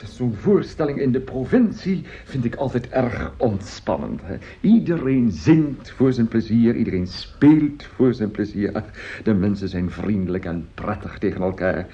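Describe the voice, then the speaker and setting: A man's voice: fairly low pitch, animated, a little slow, mellow. An actor in a radio play as a boastful, jovial, germ-shy opera singer who chats effusively and repeats his words. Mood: confiding. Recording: dull, lo-fi old radio recording.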